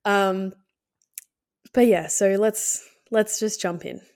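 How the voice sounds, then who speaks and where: A woman's voice: mid-range voice, slow pace, a little mellow. A woman hosting a podcast in a casual, candid, hesitant way, her voice nasal and slightly scratchy from a cold. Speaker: podcast host. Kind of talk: casual solo podcast talk.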